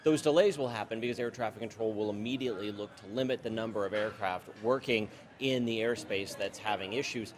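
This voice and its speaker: A man's voice: fairly low pitch, brisk. A man with an American accent, working as a TV reporter and reading scripted news narration.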